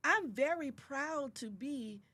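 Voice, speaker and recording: A woman's voice: sits high, lively intonation, slow pace, fairly bright tone. A woman in her fifties, a guest in a podcast studio, speaking in an emphatic, motivational way and repeating her affirmations like a preacher. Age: 50s. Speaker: guest, author. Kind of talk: motivational conversation.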